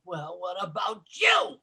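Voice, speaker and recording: High-pitched, hot-tempered sitcom buddy. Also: male voice, slow, husky, exaggerated voice. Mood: indignant. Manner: loud, sarcastic, excitable. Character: hot-tempered, sly working-class husband and grumbling buddy. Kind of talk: old-time radio sitcom acting.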